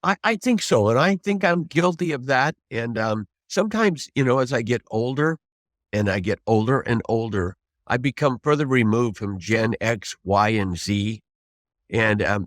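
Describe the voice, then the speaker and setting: A man in his seventies, a trial lawyer teaching advocacy in an emphatic, deliberate, conversational way with rhetorical prompts. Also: mid-range voice, dry recording, studio quality. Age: 70s. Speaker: trial lawyer, interview guest.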